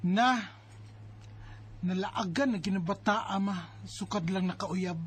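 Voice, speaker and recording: A woman acting a bossy, concerned married elder sister in a Bisaya radio drama, with an expressive, nagging and exasperated delivery. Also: mid-range voice, takes it slowly, breathy edge. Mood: gossiping, concerned. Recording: music bed under the voice. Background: background music.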